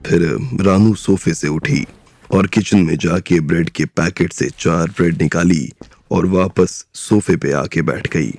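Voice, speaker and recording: A man narrating an audio drama in a steady storytelling style. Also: sits low, measured pace, gravelly, no echo, faint music behind.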